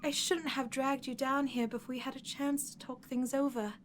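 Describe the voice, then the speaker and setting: A woman voicing a lovestruck, clingy undead corpse bride in an ASMR audio roleplay, soft, earnest and pleading. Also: high voice, level tone, normal speed, slight background noise, natural voice. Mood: relieved, tender.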